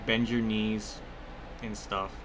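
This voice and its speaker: A man's voice: lowish voice, little pitch movement, slow, noisy background. A man giving casual, rambling gameplay commentary mixed with personal storytelling, conversational and free with swearing. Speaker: gaming video creator.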